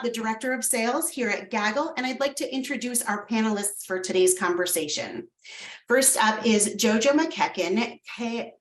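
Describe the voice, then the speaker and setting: Woman host, roomy and distant. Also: medium pitch. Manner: reads from a script with small slips and self-corrections. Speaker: webinar host. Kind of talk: scripted panel introductions.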